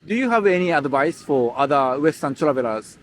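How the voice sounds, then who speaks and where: A man's voice: a little high, animated, fairly quick, slight background noise, low-quality recording. A man interviewing tourists on a beach, asking short upbeat questions. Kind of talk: street interview. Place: beach outdoors.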